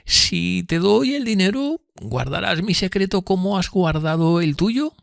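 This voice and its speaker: A man voicing a frail, remorseful elderly gentlewoman in an audiobook, speaking slowly in a hesitant, timid murmur. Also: high-pitched, expressive, vocal fry, dry recording, clean studio sound, pitched above the performer's own voice, heavily acted. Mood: subdued, solemn. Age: older. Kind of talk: audiobook character reading.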